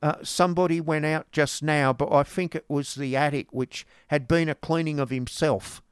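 A man's voice: a little high, fairly even intonation, moderate pace, smooth, dry recording, voice pushed up, lightly acted. A young servant girl who sounds muddled and unsure, as a male audiobook reader puts her on. Her voice sits well above the reader's own and drifts slightly off the mic. The booth is dry. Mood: flustered.

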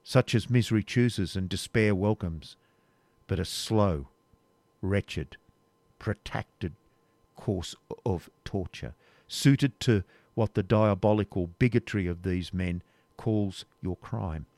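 Man voicing a stern, proud knight, low and grave, dry close sound. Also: darkish tone, no room sound. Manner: grave, measured. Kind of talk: audiobook reading, period novel.

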